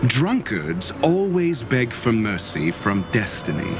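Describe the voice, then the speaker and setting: An actor playing a stern, scornful professor who talks in cryptic riddles, in a game scene with music behind him. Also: male voice, mid-range voice, big pitch swings, takes it slowly. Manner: cryptic, lecturing, contemptuous. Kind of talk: game voice acting. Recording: game dialogue over music.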